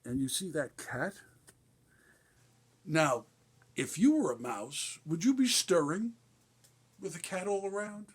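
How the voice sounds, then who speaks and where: A man playing a kindly Santa Claus with a husky voice, reading a storybook to children with gentle, folksy, explaining asides. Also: on the high side, expressive, slow pace, pauses often, heavily acted. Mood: gently explaining. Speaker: guest reader at a benefit concert.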